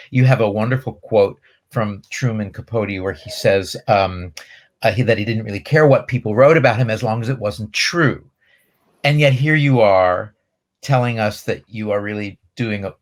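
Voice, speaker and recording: Creaky, lowish-voiced man moderating a Q&A. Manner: measured, hesitant, many pauses between phrases. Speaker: Q&A moderator, interviewer. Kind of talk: conversational film festival interview.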